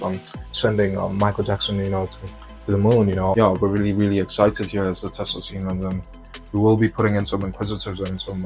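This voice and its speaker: Low, flat-voiced man, giggly. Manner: nervous and giggly, many "um" fillers.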